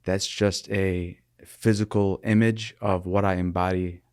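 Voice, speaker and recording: Podcast guest: young man, deep monotone voice, thoughtful and earnest, dry close sound. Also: unhurried, clean tone, dry recording. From Michigan, USA. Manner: earnest, thoughtful, with many restarts and fillers. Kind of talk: reflective interview talk.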